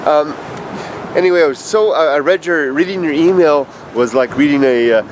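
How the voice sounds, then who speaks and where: Stuffed-up fifties man, casual hesitant vlogger, recorded outdoors with clear traffic noise. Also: on the high side. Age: 50s. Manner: rambling, halting, with many fillers and restarts. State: stuffed up with allergies. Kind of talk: casual video message. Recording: noisy outdoor street recording.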